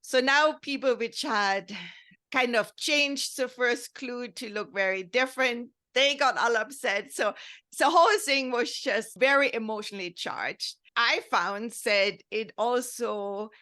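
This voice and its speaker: A woman's voice: a little high, unhurried, sharp tone. A woman from Germany with a German accent, telling a story in earnest, rambling podcast talk and often checking in with "right?". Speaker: podcast host.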